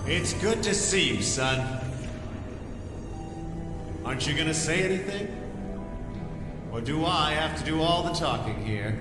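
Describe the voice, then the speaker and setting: An actor playing an older, cloned father in an audio drama, earnest, paternal and self-justifying as he speaks deliberately. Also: on the high side, expressive. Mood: composed, paternal. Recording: long hall reverb, loud music behind.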